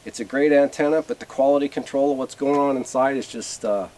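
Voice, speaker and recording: A middle-aged man with an American accent giving a casual, unscripted gear review in a plainspoken, folksy, halting way. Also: mid-range voice, a little mellow. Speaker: video host reviewing radio gear. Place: in front of a closed garage door. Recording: clear background noise, camera at a distance.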